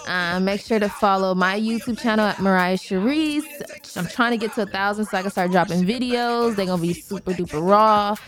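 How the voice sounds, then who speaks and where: Woman, thirties, squeaky voice, podcast co-host, casual chat, lots of fillers, mid pitch. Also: a little fast, hardly any pauses. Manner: laughs off teasing, many fillers.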